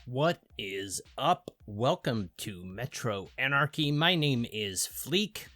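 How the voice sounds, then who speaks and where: A man hosting a city-building game series, giving chatty, explanatory gameplay commentary with frequent fillers and lively jumps in pitch on emphasis. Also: slow pace, soft background music.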